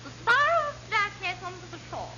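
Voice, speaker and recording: A piercingly high, bright voice gives a fussy, haughty wife her grand air. She swoops as she makes demands, in muffled old radio sitcom sound. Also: sing-song, moderate pace, heavily acted. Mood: haughty, demanding. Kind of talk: classic radio sitcom acting. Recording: muffled old radio recording, faint noise.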